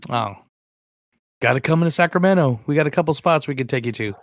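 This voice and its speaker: A man's voice: a little high, animated, quick pace, no room sound, clean studio sound. A man co-hosting a podcast in casual chat, informal and joking, with some self-deprecation. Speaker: podcast co-host.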